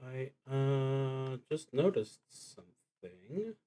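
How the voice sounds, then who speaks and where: A man hosting a solo role-playing livestream, talking casually and haltingly with long pauses and a wry, self-deprecating streak. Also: medium pitch, expressive, mellow, clear, resonant tone. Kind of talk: casual livestream talk introducing a game.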